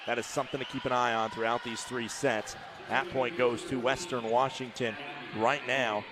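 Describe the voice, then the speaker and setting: A man doing live play-by-play commentary on a college volleyball match, who rises to shouts on big plays. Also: mid-range voice.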